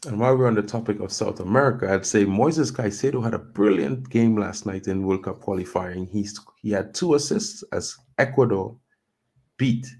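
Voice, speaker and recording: Mellow-voiced man hosting football chat. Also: lowish voice, moderate pace. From Trinidad and Tobago. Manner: chatty, loose, thinks aloud with fillers. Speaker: football news show host. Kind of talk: casual football talk show chat.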